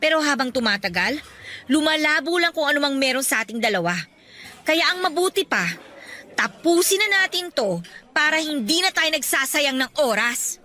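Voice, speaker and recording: A woman's voice: sits high, lively intonation, normal speed, bright, forceful tone. A woman with a Filipino accent playing a fed-up young girlfriend in a radio drama, nagging and accusing with emphasis. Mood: resigned, frank. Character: fed-up young woman confronting her unfaithful boyfriend.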